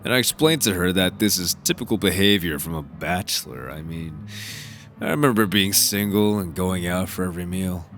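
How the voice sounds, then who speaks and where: A man's voice: deep voice, normal speed, fairly bright tone, faint music behind. A man playing a jovial, blunt middle-aged insurance salesman and family man in a radio drama, talking in a joking, folksy way. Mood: bemused.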